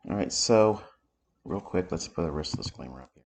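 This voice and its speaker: A man with a raspy voice from allergies, giving unhurried live commentary as he explains market charts. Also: low-pitched, expressive, darkish tone. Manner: unhurried, thinking aloud while explaining charts. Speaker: trading educator. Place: home office.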